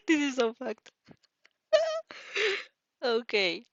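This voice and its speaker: A woman's voice: high-pitched, huge pitch swings, extremely slow, dry recording, lo-fi sound. A woman reading a story aloud expressively, giggling and stumbling over words as she casually reacts. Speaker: reader reacting to fan fiction.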